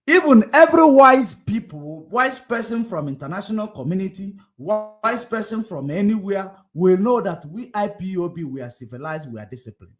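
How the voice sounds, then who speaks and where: A man hosting a political livestream, combative and repetitive, swinging between loud outbursts and low muttering. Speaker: livestream host. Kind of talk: casual political commentary livestream.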